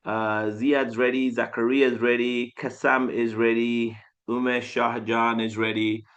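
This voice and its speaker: A man running a casual live sales coaching class over a video call, animated, repeating phrases and filling with "like" and "uh". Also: mid-range voice, a little slow. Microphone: video call mic.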